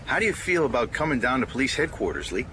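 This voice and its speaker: An actor playing a big, patient police sergeant in a radio drama, soft-spoken and reassuring. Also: male voice, medium pitch, sing-song, quick pace. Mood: gentle. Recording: noisy ambient background.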